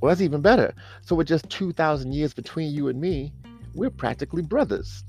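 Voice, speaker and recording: Male narrator as clueless chummy office guy for audio comedy; pitched high, pushy, slangy, overfamiliar. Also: expressive, a little fast, darkish tone, faint music behind. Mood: insistent, carefree. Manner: overfamiliar, rambling, peppering talk with bro-style slang. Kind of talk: comic audio fiction dialogue.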